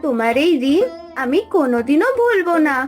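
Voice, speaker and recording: A woman voicing a gentle, grateful injured swan in a cartoon story, lower than her own voice and softly polite. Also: very animated, a little fast, faint music behind. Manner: soft, polite. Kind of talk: cartoon voice acting.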